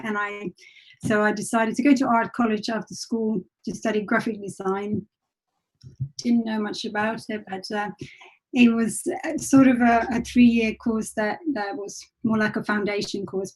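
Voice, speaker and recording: Higher-pitched Finnish-accented woman, reflective. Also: a little mellow, poor audio. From Finland. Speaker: interview guest, animator and illustrator. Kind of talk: casual personal recollection.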